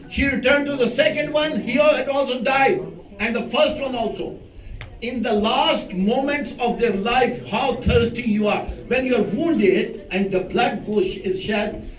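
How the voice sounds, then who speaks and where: Man, Pashto native, preaching, high voice, quizzes the crowd, distant mic. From Afghanistan or Pakistan. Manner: conversational, questions the audience, emphatic lists. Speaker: cleric giving a religious lecture. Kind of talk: sermon to a live audience. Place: large mosque hall. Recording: echoey hall, speaker off-mic.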